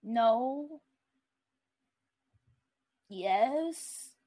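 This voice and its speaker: A woman's voice: a little high, animated, extremely slow, warm tone, exaggerated voice. A woman voicing a sad, withdrawn young girl in a story, with a subdued, murmuring, glum manner.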